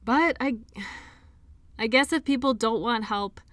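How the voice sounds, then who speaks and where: A woman acting an earnest, defensive and lonely sports doctor in an intimate audio drama, speaking in an emotional, halting way. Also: on the high side, a bit bright, no echo. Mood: softening, hesitant. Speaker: audio roleplay performer.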